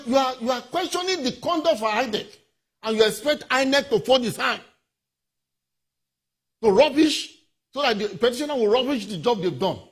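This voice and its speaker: A Nigerian man speaking as a panel guest, making his case emphatically and repeating phrases for stress. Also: very high-pitched. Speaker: legal commentator guest. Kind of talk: televised panel debate.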